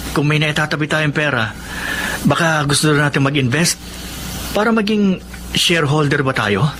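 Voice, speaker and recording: A man's voice: a little high, moderate pace, close to an everyday voice. An actor with a Filipino accent playing a patient, hopeful young working man in a radio drama. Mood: explaining, eager. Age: young adult. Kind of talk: Tagalog radio drama acting. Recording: noisy radio drama recording with ambience.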